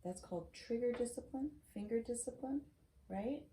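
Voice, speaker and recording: A woman's voice: a little high, normal speed, mellow. A middle-aged woman reading a children's picture book aloud, encouraging, with chatty asides and questions to check understanding. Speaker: storyteller reading to grandchild. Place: wood-shelved home study, indoors. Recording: roomy echo, faint noise, clicks.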